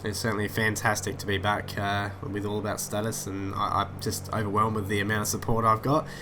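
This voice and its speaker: A lower-pitched man, nasal and stuffy from a head cold, talks his way through a flight simulator session. He rambles, fills gaps with "uh", and drifts off on side stories. There is a steady hiss of noise behind him. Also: fairly quick. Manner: rambling, many "uh" fillers, easily sidetracked. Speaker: flight sim YouTuber. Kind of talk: casual gameplay commentary.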